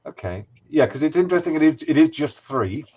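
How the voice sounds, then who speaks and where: A man's voice: fairly high pitch, very animated, fairly quick, a little mellow, a little hiss or hum. A man taking part in a casual online discussion, hesitant and reaching for his words. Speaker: discussion participant.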